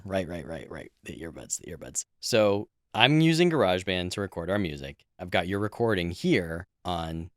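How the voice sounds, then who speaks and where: A man's voice: sits low, animated, normal speed, few pauses, dry recording, studio quality. A man co-hosting a podcast, explaining audio editing work in a casual, joking, conversational way. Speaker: podcast co-host and audio editor.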